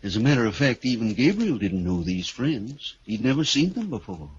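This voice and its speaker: Grave, ominous radio house seller. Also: male voice, mid-range voice, very animated, husky. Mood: puzzled, cautionary. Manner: measured, ominous, halting storyteller. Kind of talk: 1940s radio drama acting. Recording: dull, faintly noisy old radio recording.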